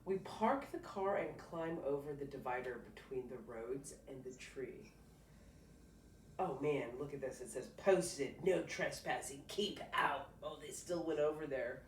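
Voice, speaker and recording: A woman teacher reading a picture book aloud to a child, pausing to explain and prompt with encouraging questions. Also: low voice. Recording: roomy, distant mic, faint noise.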